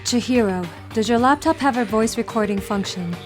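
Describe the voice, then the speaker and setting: A woman voicing a composed, analytical teenage girl detective in a fan dub, speaking in a measured, formal and deliberate way. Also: a little high, soft background music, close to an everyday voice. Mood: calm, probing. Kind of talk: anime-style fan dub acting.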